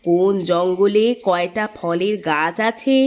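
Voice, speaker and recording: A woman's voice: fairly low pitch, fairly even intonation, a little slow, lightly acted. A woman voicing a kindly, teasing middle-aged village aunt in a cartoon. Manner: warm, teasing, motherly. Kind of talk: cartoon voice acting.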